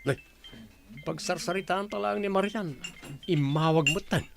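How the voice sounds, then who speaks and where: A man's voice: high-pitched, moderate pace, vocal fry, soft background music. An actor in a Filipino radio drama playing a laid-back man who gambles with his buddy. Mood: relaxed, chummy.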